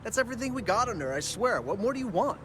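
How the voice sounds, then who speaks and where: An actor playing a blustering, cowardly gang boss in a video game, brash at first and then quick to cave and wheedle. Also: male voice, high voice, very animated, noisy background. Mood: evasive.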